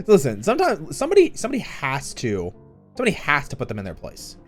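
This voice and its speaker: A man hosting a casual stream chat, playful and quick to jump into high squeaky exclamations. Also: wildly swooping pitch, a bit bright, soft background music.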